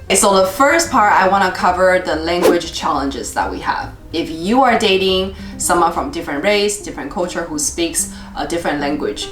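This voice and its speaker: Cheerful woman, roomy echo. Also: lowish voice. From Taiwan. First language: Mandarin. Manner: cheerful, laughs often. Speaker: dating vlog host. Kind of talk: casual chat to camera. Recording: roomy echo, faint music.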